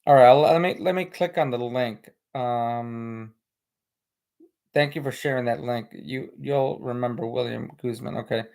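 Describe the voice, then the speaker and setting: A man's voice: medium pitch, unhurried, gravelly, a little mellow. A man with an American accent, whose first language is Spanish, chatting casually on a live stream in a rambling way, with many fillers, and reading viewer comments. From United States.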